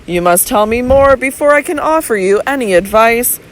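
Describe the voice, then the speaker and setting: Woman reading as a quiet, reasoning male doctor. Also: fairly high pitch, animated, moderate pace, close to an everyday voice, low-quality recording. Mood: probing. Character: calm, measured male physician, middle-aged. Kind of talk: audiobook character reading.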